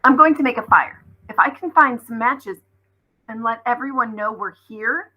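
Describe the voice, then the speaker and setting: A woman's voice: on the high side, normal speed, bright, forceful tone, rough recording. A woman voicing a practical, matter-of-fact boy with stubborn good cheer in a children's storybook.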